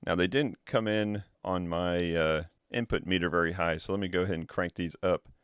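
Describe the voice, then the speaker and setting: A man with a deep, low-set voice walks through a gadget review in an easygoing way, explaining as he goes and thinking out loud. The sound is dry and close, with no room around it. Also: normal speed, clear, resonant tone, no echo. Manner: relaxed, explanatory, thinking aloud. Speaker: tech reviewer. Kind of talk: casual product review demo.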